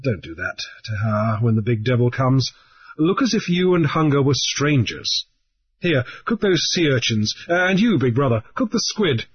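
A calm, grave sea captain with a dry wit, speaking in measured tones, as a male narrator voices him. The voice is husky, a touch raised from the narration. The recording is dull and lo-fi. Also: mid-range voice.

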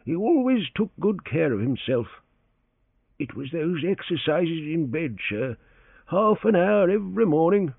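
A man's voice: a little high, sing-song, a little slow, creaky, breathy edge, no echo, pitched above the performer's own voice, full character voice. A man doing a fawning, stammering old valet. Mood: reverent. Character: elderly male valet, humble, flustered, deferential. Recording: thin phone-like sound, dry.

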